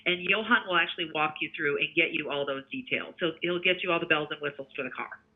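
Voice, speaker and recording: A male sales representative speaks over a narrow, tinny phone connection. His voice sits a little higher than most men's. He talks in a conversational pitch style, explaining as he goes. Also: fast. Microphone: telephone.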